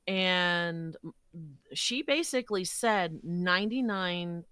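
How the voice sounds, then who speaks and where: A woman's voice: fairly low pitch, slow pace, bright, forceful tone, clean tone, no echo. A woman speaking as an interview guest, explaining her craft conversationally and thinking aloud as she goes. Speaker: voice actor, podcast guest.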